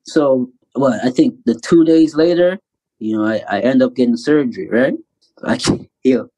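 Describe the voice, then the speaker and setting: A man in his thirties from Canada, a basketball player telling stories as an interview guest in a casual, animated way. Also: mid-range voice, normal speed, low-quality recording. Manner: animated storyteller, acts out quotes loudly.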